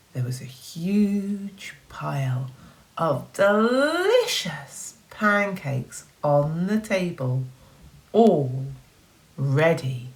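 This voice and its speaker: Very expressive, unhurried woman reading to children. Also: very deep voice, vocal fry. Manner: expressive, dramatic emphasis, questioning the listeners. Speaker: school teacher reading a story to children. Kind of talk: animated children's storytelling with asides.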